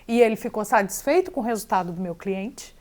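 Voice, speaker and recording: A woman's voice: mid-range voice, expressive, fairly quick, darkish tone. A Brazilian woman, a real estate agent, chatting in an interview with a Brazilian accent and often mixing English words into her Portuguese. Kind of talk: casual interview chat.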